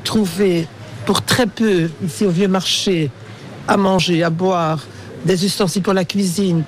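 A middle-aged man whose first language is Dutch, speaking French with a Belgian Dutch accent in a lively, emphatic way, with hesitations, in a street interview. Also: sits high, unhurried.

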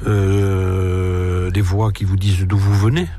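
Measured man with a southern French accent. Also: deep voice, little pitch movement. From southern France. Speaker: interviewee. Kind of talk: reflective interview talk.

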